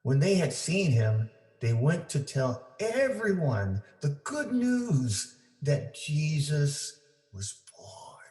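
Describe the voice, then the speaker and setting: Male storyteller, mid-pitched voice, unhurried pace, deliberate phrasing, family read-aloud, echoey room. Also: sing-song, darkish tone, faint music behind. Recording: roomy, echoing indoor sound.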